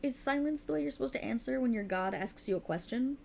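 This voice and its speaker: Woman playing a high, creaky, cruel otherworldly patron. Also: lively intonation, darkish tone, slight background noise. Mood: mocking, amused. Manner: theatrical, teasing, condescending, with drawn-out words. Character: sultry, cruel, mocking otherworldly warlock patron, gloating over a dying servant. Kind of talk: ASMR roleplay monologue.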